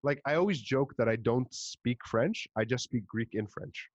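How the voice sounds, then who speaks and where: A young man with a Montreal English accent, hosting a podcast in casual chat, an animated storyteller who swears and puts on voices. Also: mid-range voice, moderate pace, dry recording, highs cut off. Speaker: podcast host.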